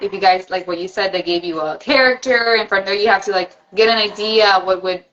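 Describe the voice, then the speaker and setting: A woman's voice: mid-range voice, brisk, fairly bright tone. A woman hosting a casual live video chat, a gushing fan asking questions. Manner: gushing fan, frequent exclamations. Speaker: host, fan. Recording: dull lo-fi livestream audio.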